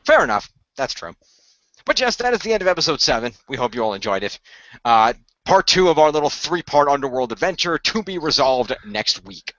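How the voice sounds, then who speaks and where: A man's voice: fairly high pitch, sing-song, fairly quick, fairly bright tone, no room sound, muffled recording. A man narrating and hosting an amateur radio play, moving between story narration and casual chat. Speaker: co-creator, narrator and host.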